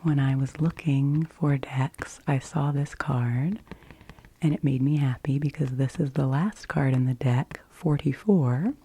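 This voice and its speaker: A woman in her forties whispers softly and gently in an unhurried ASMR style, close to the microphone. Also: rumbling low, slightly rough. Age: 40s. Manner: soft, gentle, unhurried delivery. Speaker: ASMR host doing an oracle card reading.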